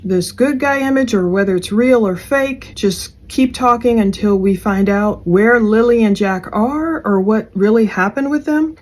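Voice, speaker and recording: A woman's voice: medium pitch, a little mellow. Woman, true crime host, casual commentary, conversational, speculative, mid-range voice.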